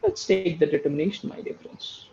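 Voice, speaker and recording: A man leading a guided meditation, giving slow, soothing instructions and repeating short phrases for emphasis. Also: fairly high pitch, huge pitch swings, gravelly, mellow, dull audio, slight background noise. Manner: repeats phrases for emphasis, addresses listeners as friends.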